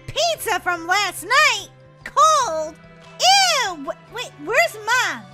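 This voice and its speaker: A woman's voice: high voice, lively intonation, normal speed, bright, forceful tone, faint music behind. A woman voicing a dramatic, whiny young schoolgirl in a childish game roleplay skit. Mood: fussy, surprised.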